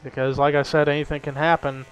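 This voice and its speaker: A man's voice: fairly high pitch, level tone, fast. A young man giving casual play-by-play commentary on a simulated race, chatty and lifting his energy at the big moments. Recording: faint background noise.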